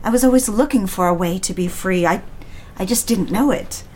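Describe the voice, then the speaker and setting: A woman's voice: medium pitch, fairly quick, dark tone, slight background noise, lightly acted, low-quality recording. Woman acting an earnest, devoted bride-to-be. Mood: earnest, defiant. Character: devoted, anxious young bride-to-be craving respectability. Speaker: actor in an audio drama. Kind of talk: earnest period audio drama acting.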